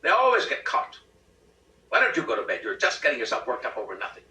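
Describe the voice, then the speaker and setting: Man doing a naggy stubborn wife from a comic story, curt and sarcastic, sharp swoopy voice, echoey room. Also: medium pitch, wildly swooping pitch, quick pace, voice pushed up, exaggerated voice. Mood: exasperated. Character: stubborn, scornful, nagging wife who refuses to budge. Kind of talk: dramatic reading of a comic short story. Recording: roomy, distant rehearsal recording.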